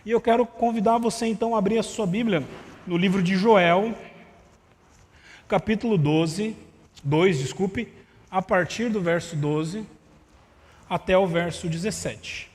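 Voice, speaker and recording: A man's voice: high voice, a little slow, long pauses, darkish tone. A man preaching a sermon in a conversational way, with rhetorical asides to the congregation, in a voice that tires easily. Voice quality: vocal cord problem, voice tires easily. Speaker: pastor. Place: church sanctuary. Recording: live church stream with long reverb.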